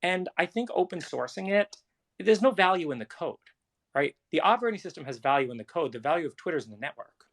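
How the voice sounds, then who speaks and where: Blunt man with a higher-pitched voice. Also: expressive, fast, fairly bright tone. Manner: blunt, challenging. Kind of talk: casual technical discussion.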